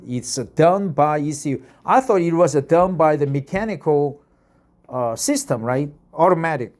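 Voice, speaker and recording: A man in his fifties from Korea, with a Korean accent, reading an article aloud and commenting, halting with many fillers and repeated "right?"s. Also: a little high, darkish tone. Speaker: YouTube reader of articles.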